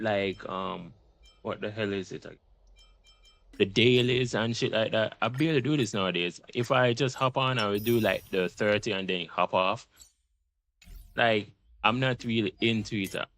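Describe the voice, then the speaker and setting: Casting: male game streamer, lower-pitched, off-the-cuff livestream chat, slangy and profane. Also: normal speed, fairly bright tone.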